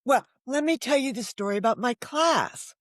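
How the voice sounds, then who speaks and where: A woman's voice: on the high side, animated, normal speed, no echo, studio quality. An older American woman from Connecticut, around seventy, talking candidly as an interview guest in an emphatic, anecdotal way with rhetorical tag questions. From Connecticut, United States. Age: older, about 70. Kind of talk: candid conversational storytelling.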